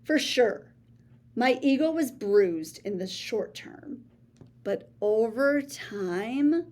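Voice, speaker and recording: A woman reading a children's picture book aloud, expressive, with gasps and hushed asides to her listeners. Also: fairly high pitch, drawn-out words. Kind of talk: read-aloud storytelling for children.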